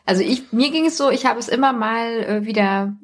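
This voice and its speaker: A woman's voice: a little high, brisk. A middle-aged woman, talking casually as a podcast host.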